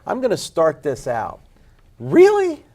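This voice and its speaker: A man hosting a local TV panel discussion, moving briskly between topics and panelists. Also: a little high, huge pitch swings, warm tone. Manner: brisk, chatty moderator. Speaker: panel show host.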